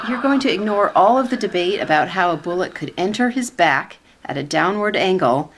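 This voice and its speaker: A woman playing a skeptical, wry commission staffer in a sitcom-style radio play, speaking in a measured way. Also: lowish voice, animated, a little hiss or hum, natural voice.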